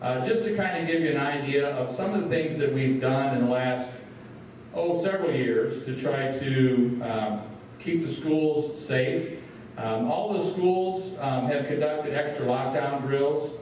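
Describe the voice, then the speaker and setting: A man speaks at a podium in a big school meeting room. His voice sits at an ordinary pitch and comes from some way off the mic. It rings with a strong echo and a faint hiss. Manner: plain, steady, many filler words. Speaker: school superintendent. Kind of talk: public meeting address. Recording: roomy echo, distant from the mic.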